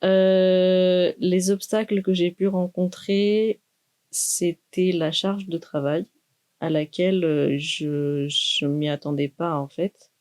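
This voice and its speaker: A young woman, a doctoral student speaking in a thoughtful, measured way with many pauses in a university podcast interview. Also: fairly low pitch, level tone, a little mellow. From Greece. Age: 20s. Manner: measured, thoughtful, with frequent hesitations.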